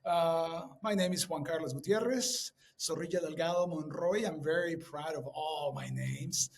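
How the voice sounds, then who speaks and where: A middle-aged man from Colombia, slightly high-voiced, talks through his career as a podcast guest. He rambles warmly with many fillers and animated asides. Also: normal speed, vocal fry. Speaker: podcast guest, creative director. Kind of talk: casual interview talk.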